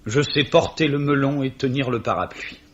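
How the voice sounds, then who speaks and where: A man's voice: medium pitch, normal speed, a bit bright, natural voice. Male actor as keen, courteous young clerk, radio play; mid-range voice, slightly muffled vintage recording. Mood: eager, deferential. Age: young adult. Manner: polite, earnest, formal. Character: eager, deferential young gentleman trainee. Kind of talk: 1950s French radio drama acting. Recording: dull old radio recording.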